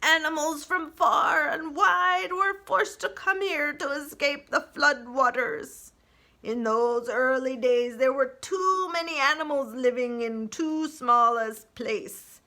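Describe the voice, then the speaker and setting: A woman voicing an ancient, solemn male turtle elder in a children's storybook, with slow, weighty, drawn-out delivery. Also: sits high, sharp tone, voice pushed up, exaggerated voice. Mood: grave.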